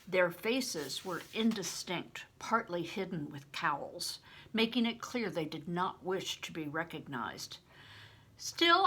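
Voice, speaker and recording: An older woman with a breathy voice, reading a novel aloud and giving the characters voices of their own. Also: on the low side, bright, forceful tone. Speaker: reader.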